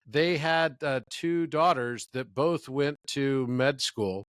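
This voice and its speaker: A man's voice: mid-range voice, takes it slowly, a bit bright, dry recording. Enthusiastic man telling stories, dry sound. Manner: enthusiastic, storytelling. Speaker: interview guest. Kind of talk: conversational interview.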